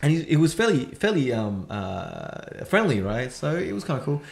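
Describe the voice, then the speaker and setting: A man with a rough Western Sydney Australian accent tells a long story in casual podcast chat. He rambles, stacks up fillers and restarts, and swears freely. His voice sits at an ordinary middle pitch. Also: normal speed. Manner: rambling anecdote-telling with lots of fillers and swearing; rough-edged, animated storytelling. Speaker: podcast co-host. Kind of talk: casual chat among friends.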